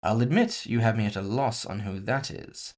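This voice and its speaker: A man's voice: lowish voice, normal speed, darkish tone, close to an everyday voice. A man voicing a composed, villainous woman executive in audio fiction, casually polite, mocking and condescending. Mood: coolly dismissive. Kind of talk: audio fiction character dialogue.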